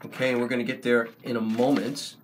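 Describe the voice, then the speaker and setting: An older man hosting a casual livestream from a small room, talking slowly into a close webcam, rambling with many ums and restarts. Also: mid-range voice, level tone, a little hiss or hum. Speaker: online service host and songwriter. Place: small room before a wooden wall, indoors. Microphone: webcam at close range.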